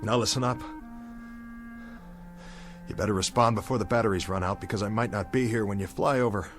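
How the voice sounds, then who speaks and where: A man's voice: lowish voice, fairly quick, soft background music, natural voice. A young man playing a stranded, guilt-ridden bomber captain who rambles and questions himself aloud in a dramatic radio play monologue. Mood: bitter, doubting. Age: young adult.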